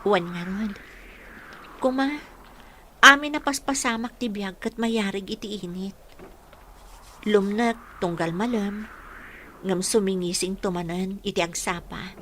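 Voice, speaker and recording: Sorrowful, hopeful young Ilocano villager, radio drama, piercingly bright tone. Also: female voice, mid-range voice, measured pace, long pauses, slight background noise. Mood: wistful, hopeful.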